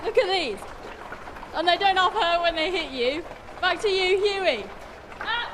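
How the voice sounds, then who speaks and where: A woman's voice: very high-pitched, a little slow. A woman playing a flustered on-the-scene correspondent, giving scripted mock news reports in a dramatic, plaintive manner. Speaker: field reporter. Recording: reverberant, noisy ambient field sound.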